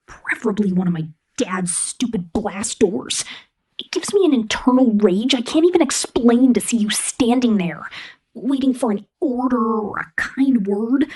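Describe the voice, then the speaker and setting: A woman voicing an angry outcast teenage robot girl, edgy and grudgingly affectionate, in an ASMR roleplay with a husky, breathy voice. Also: high-pitched, pitched above the performer's own voice, heavily acted, low-quality recording.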